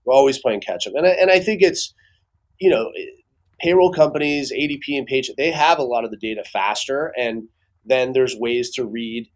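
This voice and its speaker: Male business guest, mid-pitched, conversational and halting, explaining his opinions in an interview. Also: poor audio. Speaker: business owner giving expert commentary as guest. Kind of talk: casual interview commentary.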